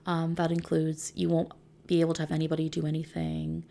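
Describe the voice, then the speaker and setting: Soft-spoken, very creaky-voiced ASMR woman. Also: deep voice, monotone, a little fast. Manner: gentle, reassuring, checking in with the listener. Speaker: ASMR roleplayer playing a customization service consultant.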